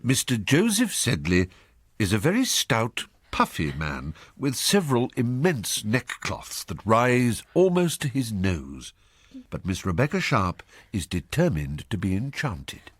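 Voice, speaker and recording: A man's voice: on the low side, very animated, a little slow, husky, dry recording, exaggerated voice. A man playing an urbane, ironic English storyteller, narrating a Regency satire for a radio drama. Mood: knowing. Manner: ironic, knowing.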